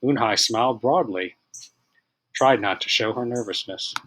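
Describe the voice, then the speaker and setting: A man reading a children's picture book aloud as scripted narration, in an expressive manner. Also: mid-range voice, unhurried, slightly rough, low-quality recording. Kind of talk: children's storybook read-aloud.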